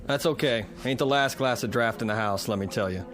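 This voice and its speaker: An actor playing a hearty, chatty working-class bartender in a radio drama, with folksy, slangy delivery. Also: male voice, mid-range voice, fairly quick, over music, natural voice. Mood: reassuring.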